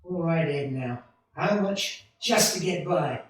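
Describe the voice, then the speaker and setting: A man playing an earnest, excitable young small-town family man in a 1940s-style radio play, with a stammering, folksy delivery. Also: fairly high pitch, slow pace. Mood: urgent, reassuring. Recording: distant, very echoey stage hall.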